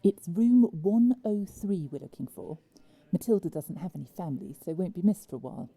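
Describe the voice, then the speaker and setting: An actress playing a curt, furtive care worker in an audio drama, with a husky, muffled voice. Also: female voice, lowish voice, lively intonation, fairly quick, dark tone, no room sound, exaggerated voice. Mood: impatient, furtive. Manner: brusque, ordering. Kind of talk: audio comedy drama acting.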